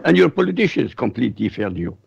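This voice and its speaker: Gravelly blunt man, Iraqi-born. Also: medium pitch, big pitch swings, fast talker, lo-fi sound, a little hiss or hum. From Iraq. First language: Arabic. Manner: blunt and emphatic. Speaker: guest commentator on foreign affairs. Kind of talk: opinionated radio talk.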